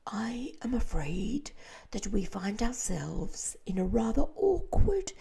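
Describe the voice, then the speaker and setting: A woman voicing an old, courteous male grasshopper who speaks slowly in a hushed, breathy way. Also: medium pitch, sing-song, pitched above the performer's own voice, full character voice. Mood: calm. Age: older.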